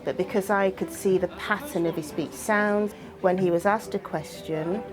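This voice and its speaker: A woman's voice: mid-range voice, steady tone, normal speed, music in the background. A woman working as an intermediary, talking in an interview about her own work. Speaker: witness intermediary.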